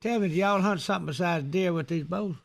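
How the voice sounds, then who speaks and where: Hoarse, gravelly host. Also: male voice, sits high, fast. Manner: folksy, joking interviewer. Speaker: radio and TV talk show host. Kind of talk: live call-in show chat.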